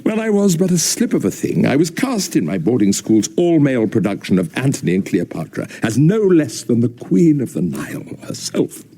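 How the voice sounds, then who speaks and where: A man's voice: mid-range voice, sing-song, moderate pace, hardly any pauses, mellow. An actor playing a pompous, wistful man in a radio sitcom, talking in a grandiose, wordy and theatrical way. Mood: grandly wistful. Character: pompous, theatrical, wistful male museum owner.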